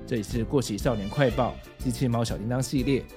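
A man's voice: mid-range voice, fairly quick, music in the background. A man with a Taiwanese Mandarin accent, hosting a podcast with narration and casual commentary. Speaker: podcast host.

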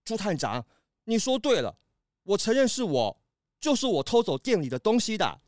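A woman's voice: mid-range voice, very animated, normal speed, darkish tone, no echo, studio quality, lightly acted. A woman voicing a young male lemur, an earnest and principled older brother, in a children's audio drama with a sincere delivery. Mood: resigned. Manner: sincere, heartfelt.

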